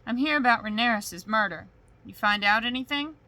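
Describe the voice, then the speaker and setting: A woman's voice: on the high side, fairly quick, poor audio. A woman doing a dry, clipped male investigator. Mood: probing. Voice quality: clipped, deadpan. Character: dry, persistent male investigator, friend of the victim. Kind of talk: bedtime story reading.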